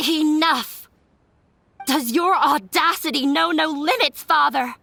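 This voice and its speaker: A woman's voice: high-pitched, slow pace, vocal fry, pushed and bright, dry recording, full character voice. A woman voicing a shy but defiant young woman in a game.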